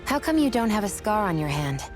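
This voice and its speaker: An actress playing a blunt, skeptical young adventurer in a video game, with a cool, probing delivery. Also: female voice, mid-range voice, very animated, a little fast, close to an everyday voice. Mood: skeptical, pressing. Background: background music.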